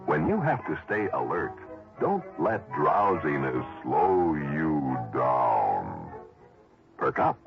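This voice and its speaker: A man's voice: very deep voice, sing-song, slow, creaky. A man announcing a scripted 1960s radio commercial. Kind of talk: 1960s radio advertisement read. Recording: dull old radio recording, loud music. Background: loud music.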